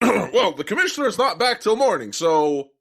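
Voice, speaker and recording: A man's voice: sits high, big pitch swings, moderate pace, gravelly, fairly bright tone, husky, full character voice. A man playing a dim, naive police officer in a comedic audio drama, gasping, stammering and taking everything literally. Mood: nervous stalling. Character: dim, dawdling male police officer, easily amazed.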